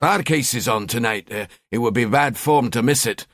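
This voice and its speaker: A man's voice: mid-range voice, moderate pace, fairly bright tone, no room sound, studio quality, lightly acted. A man voicing a cynical middle-aged detective with a Scottish accent, grumbling and blunt.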